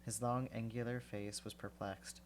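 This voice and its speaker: A man's voice: mid-range voice, little pitch movement, moderate pace, slight background noise. A man reading a novel aloud on a livestream, stuffed up from a cold, breaking off for casual, chatty asides full of fillers. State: congested from a cold.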